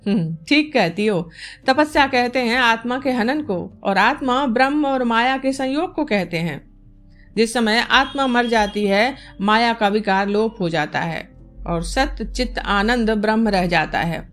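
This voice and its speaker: A proud yogi philosopher, voiced by a woman in an audiobook story. The delivery is solemn and measured, lecturing with condescension, over a music bed. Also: on the high side, lively intonation, fairly bright tone. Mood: amused condescension.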